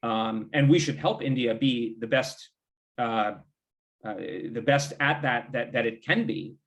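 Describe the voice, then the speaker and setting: A man's voice: mid-range voice, fairly bright tone. American male foreign policy analyst, informal interview guest, discursive and self-correcting. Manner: discursive, halting, qualifies and rephrases himself often.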